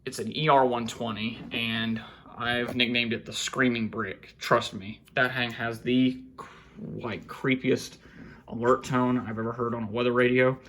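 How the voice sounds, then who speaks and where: A man with a creaky voice giving a casual, halting off-the-cuff show-and-tell as a hobbyist, half talking to himself. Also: medium pitch, level tone, fairly bright tone. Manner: halting, muttering to himself while handling gear. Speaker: hobbyist collector presenting weather radios. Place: indoors, small cluttered room at home. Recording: faint background noise, clicks and handling sounds.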